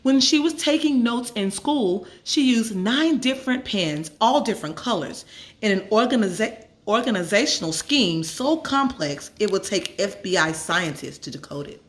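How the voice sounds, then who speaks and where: A woman reading a children's story aloud as a storyteller, with measured, expressive phrasing and dramatic emphasis. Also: medium pitch. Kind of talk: expressive scripted story narration.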